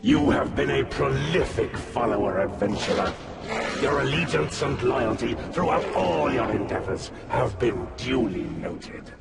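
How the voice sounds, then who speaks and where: An actor playing a stern, suspicious dark god in a fantasy video game, gravelly, formal and imperious. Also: male voice, medium pitch, lively intonation, unhurried, far from the mic, over loud music.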